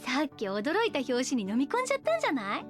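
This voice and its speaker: A woman's voice: sits high, huge pitch swings, fast, soft background music. A woman voicing a carefree young woman in a Japanese audio drama, easygoing and blithe in casual speech. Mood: cheerful. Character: carefree, airy young woman, innocently teasing friend.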